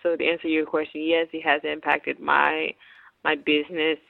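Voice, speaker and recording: A woman's voice: low-pitched, level tone, moderate pace, vocal fry. A woman from Haiti, a business owner, talking as a guest in a radio interview, earnest and often restarting her words. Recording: tinny phone-like radio broadcast.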